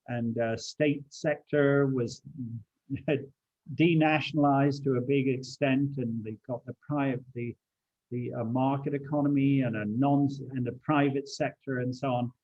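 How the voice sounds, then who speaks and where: An older man in his seventies, raised in China, reminisces in an easygoing, halting way, full of ums and restarts. His voice sits at a middle pitch. The sound comes over a video call, a little dull and lo-fi. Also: a little mellow. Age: 70s. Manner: hesitant, many fillers and restarts. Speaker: guest speaker. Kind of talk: relaxed conversational recollection.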